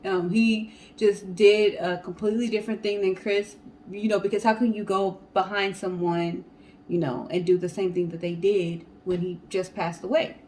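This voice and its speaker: A woman co-hosting a show, telling stories and chatting casually to the audience in an animated way. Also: medium pitch, moderate pace, slight background noise, rough recording. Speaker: co-host of a storytelling guessing show. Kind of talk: casual storytelling and chat to viewers.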